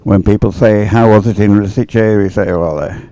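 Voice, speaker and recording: Man, race commentator, lowish pitch, dark relaxed tone, hesitant, unscripted, thinking aloud. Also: normal speed. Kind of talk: unscripted live sports commentary.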